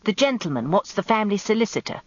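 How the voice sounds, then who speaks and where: A woman's voice: medium pitch, big pitch swings, quick pace, no room sound, voice pushed up. A woman voicing a blunt, resentful working-class English housekeeper who is heavy and gasps as she grumbles, in a radio drama. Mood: worried. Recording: dull lo-fi radio recording.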